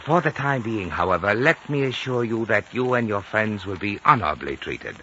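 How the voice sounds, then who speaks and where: A deep-voiced, courtly old Chinese lamasery host in a vintage radio play. He is formal and enigmatic, with swooping melody and deliberate phrasing, on a dull old recording. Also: expressive, sharp tone, a little hiss or hum. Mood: courteous, evasive. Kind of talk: 1950s radio drama acting.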